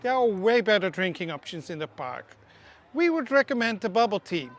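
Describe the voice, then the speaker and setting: Woman, English speaker, casual news vlog host, middle pitch, chatty, opinionated. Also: big pitch swings, moderate pace, a little hiss or hum. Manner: chatty, opinionated, small slips and restarts. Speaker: theme park news presenter.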